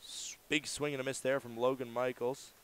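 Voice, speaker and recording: A man doing live radio play-by-play commentary of a baseball game, in a measured delivery that lifts for the action. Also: medium pitch, level tone, clean tone.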